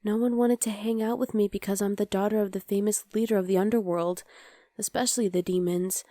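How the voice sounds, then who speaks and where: A woman playing a young demon girlfriend in an ASMR roleplay, sweet but exasperated and rebellious, speaking intimately and softly to the listener as her partner. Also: medium pitch, steady tone, a little fast, no echo, studio quality, close to an everyday voice. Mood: wistful, confiding. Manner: intimate, soft, often dropping to a whisper.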